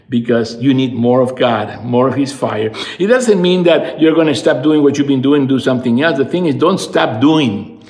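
A man's voice: medium pitch, a little mellow. A man, giving an earnest devotional sermon as a pastor and rising to fervent emphatic peaks. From Peru. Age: 60s. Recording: echoey room.

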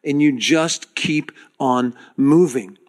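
A man preaching to his congregation as their pastor, speaking earnestly and directly with halting restarts. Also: medium pitch. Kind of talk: sermon.

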